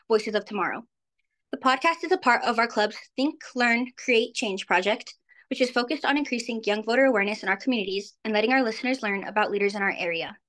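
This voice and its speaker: A woman's voice: fairly high pitch, fairly bright tone. A seventeen-year-old girl from New Mexico giving an earnest prepared speech on a video call. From New Mexico, USA. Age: teen, 17. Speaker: youth speaker. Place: indoors, virtual background. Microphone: video call webcam.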